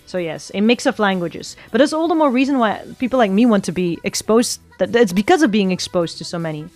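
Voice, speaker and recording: A woman's voice: medium pitch, animated, a little fast, darkish tone, faint music behind. Rambling woman streamer. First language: Flemish Dutch. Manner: rambling, thinking aloud with frequent self-corrections. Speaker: virtual streamer. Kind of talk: casual chat while drawing, answering chat.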